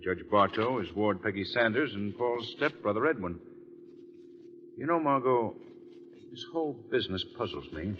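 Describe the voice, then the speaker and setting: Composed male gentleman detective, acted by a man, low, widely inflected, reflective, muffled vintage radio. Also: lively intonation, moderate pace, close to an everyday voice. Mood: pensive. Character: thoughtful, composed gentleman sleuth. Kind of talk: old-time radio mystery drama acting. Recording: muffled old radio, faint hum.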